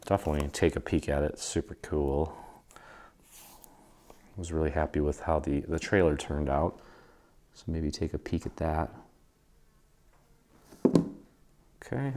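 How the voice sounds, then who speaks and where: An American man speaks in a low voice as he hosts a craft tutorial. His delivery is casual and easygoing, walking through each step informally. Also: dark tone. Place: indoor craft studio.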